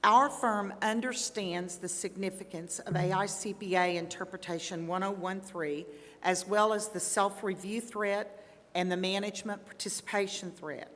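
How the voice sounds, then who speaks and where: A woman acting as a composed, confident auditor in a staged role-play. Her voice is mid-pitched and formal, deliberate in its phrasing, and carried by the long reverb of a hall. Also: steady tone, a bit bright, natural voice. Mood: composed, assured. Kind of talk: scripted role-play dramatization.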